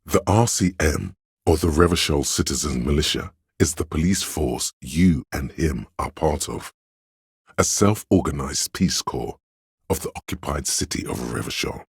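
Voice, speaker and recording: Very deep, scholarly know-it-all narrator. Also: male voice, no echo, studio quality, heavily acted. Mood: measured, informative. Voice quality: very deep, smooth, resonant. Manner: measured, scholarly, dryly condescending. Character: erudite encyclopedic inner voice, a measured, dryly condescending know-it-all man. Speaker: game narrator. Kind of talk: scripted game narration.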